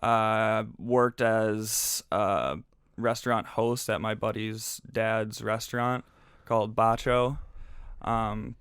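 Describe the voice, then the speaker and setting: A man in his twenties from Minnesota, a podcast guest telling his story in relaxed, easygoing casual chat. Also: fairly low pitch, slow pace, clear, resonant tone, dry recording, studio quality. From Minnesota, USA. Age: 20s.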